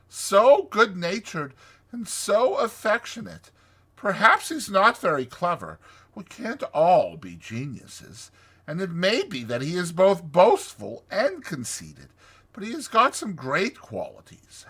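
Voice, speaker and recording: A good-natured storybook water rat, as a man puts him on, hearty and chummy. The voice sits high above a normal talking pitch and is bright, with big swoops. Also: wildly swooping pitch, takes it slowly, slightly rough, full character voice. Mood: cheerful, fond. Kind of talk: dramatized storybook reading.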